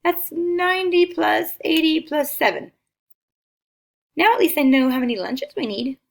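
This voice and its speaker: A woman's voice: sits high, expressive, unhurried, creaky, voice pushed up, full character voice. A woman reading aloud as a gracious, practical noblewoman who politely organizes guests.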